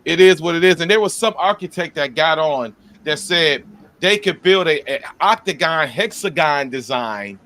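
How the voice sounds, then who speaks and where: Blunt, animated high-voiced man. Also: normal speed, slight background noise. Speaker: podcast co-host. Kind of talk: casual sports chat.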